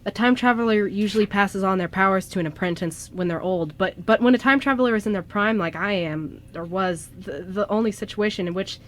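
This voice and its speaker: A woman's voice: medium pitch, brisk, few pauses, close to an everyday voice. A woman playing an anxious young male time traveler in an amateur radio drama, stammering and hesitant. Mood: distressed. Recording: noisy amateur radio show recording.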